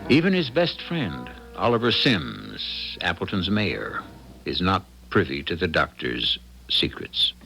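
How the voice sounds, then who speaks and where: A man hosting a radio drama with scripted narration, measured, formal and portentous. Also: deep voice, huge pitch swings, vocal fry, sharp tone, heavily acted, rough recording. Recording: music under the voice.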